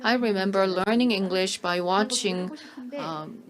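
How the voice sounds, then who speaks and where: A woman works as a live conference interpreter, delivering formal content in measured phrases with small hesitant pauses. Her voice sits at a middling pitch, and audience noise is clearly heard around it. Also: a crowd in the background.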